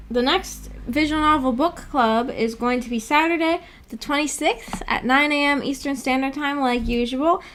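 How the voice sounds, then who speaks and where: A woman with a somewhat high voice hosts a casual livestream. She reads out comments from viewers and answers them in a playful, giggly way, talking informally as she goes. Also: a bit bright. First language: English. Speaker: streamer hosting a book club. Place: indoor livestream with animated avatar.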